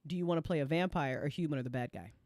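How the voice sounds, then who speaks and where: A woman's voice: deep voice, very fast, darkish tone, dry recording. A woman hosting a casual chat, talking emphatically and often repeating herself for effect. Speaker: host.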